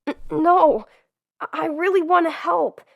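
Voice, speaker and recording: An eager young electric cub, voiced by a woman, speaks in a high, earnest voice and pushes impulsively to be part of everything. The fan comic dub keeps the delivery excitable and sincere. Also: unhurried, gravelly, full character voice. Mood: pleading.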